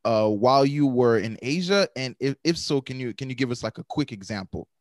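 A man interviewing a guest, encouraging and quick with affirmations. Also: mid-range voice, lively intonation, dry recording, studio quality. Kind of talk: casual interview.

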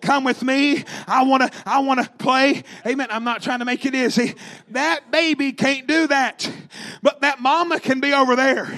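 Guy preaching a fiery revival sermon, really high voice, sharp and forceful, hammers phrases over and over. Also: steady tone, normal speed. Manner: emphatic, repetitive, rhythmic exhortation; calls out to the congregation.

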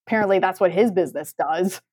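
A woman's voice: lowish voice, quick pace, darkish tone, breathy edge. A woman co-hosting a podcast in casual, informal, conversational talk with a sardonic edge. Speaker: podcast co-host and recruiter.

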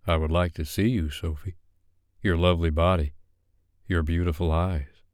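A man playing a laid-back, reassuring photographer and lover in a romance audiobook, speaking in a calm, coaxing way. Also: sits low, normal speed, clean tone, no room sound, studio quality, lightly acted. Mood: tender. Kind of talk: romance audiobook character dialogue.

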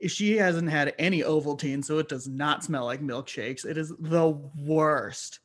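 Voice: mid-range voice, a little slow, close to an everyday voice. A performer playing a disgusted, dramatic reality-show housemate in an improv comedy sketch.

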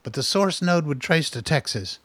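An actor playing a weary, stubborn older scientist in hiding, terse and guarded, in a radio drama. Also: male voice, fairly high pitch, lively intonation, normal speed, a bit bright, slightly rough, no echo. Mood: weary, resigned.

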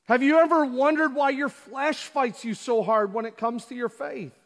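A man's voice: very high-pitched, normal speed, a bit bright. A man preaching a sermon as pastor, asking the audience repeated rhetorical questions and acting out quotes. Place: church auditorium. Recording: long hall reverb.

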